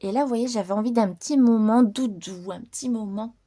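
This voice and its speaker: A woman chatting informally and unscripted to her viewers as a hobby channel host, in a relaxed, rambling manner. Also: on the high side, fairly quick. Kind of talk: casual unscripted chat to viewers.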